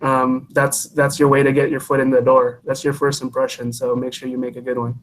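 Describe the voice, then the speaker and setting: A young man in his late twenties speaks at a college career panel, offering practical advice in a measured way, with pauses between thoughts. His pitch stays flat, sitting at an ordinary mid height, with light background noise. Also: low-quality recording. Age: 20s. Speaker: engineering panelist. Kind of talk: panel answers to students. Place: college presentation event.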